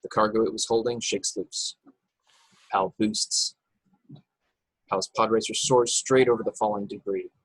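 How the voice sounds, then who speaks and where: A man narrates stage directions for an action-packed script in a husky, fairly low voice. The sound is close and dry, as he reads the scenes out at a remote table read. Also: fairly even intonation, measured pace, pauses often, no echo, poor audio. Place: indoors, remote video call table read.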